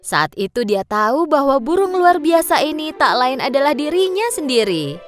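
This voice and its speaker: Animated woman storyteller over music. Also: sits high, moderate pace. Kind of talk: scripted children's story narration.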